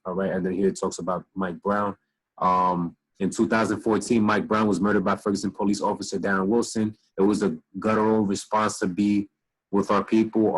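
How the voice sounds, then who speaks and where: A young man gives a late-night online lecture over a laptop video call. His voice sits low and a little husky. He reads passages aloud, then explains them in loose street slang, sounding tired and slightly slurred. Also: low-quality recording. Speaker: instructor. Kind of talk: casual online lecture reading from web pages.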